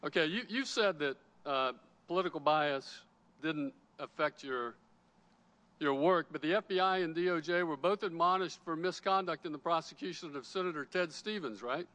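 A congressman with a fairly high voice presses a witness and keeps restarting his questions. The sound carries a long reverb from the large hearing room he is in. His questions come one after another. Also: normal speed, a bit bright. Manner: pressing, repeats his starts. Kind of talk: hearing cross-examination.

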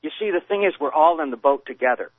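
A man's voice: on the high side, animated, fast, dry recording. A man calling in to a radio show, rambling and argumentative, stumbling over his words. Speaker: caller. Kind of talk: phone-in conversation. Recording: tinny phone line.

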